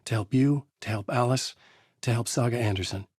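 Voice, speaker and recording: An actor playing a troubled novelist in a horror video game, narrating his dark story in a husky, grave, deliberate noir style. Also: medium pitch, no room sound, studio quality, lightly acted. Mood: grave, brooding.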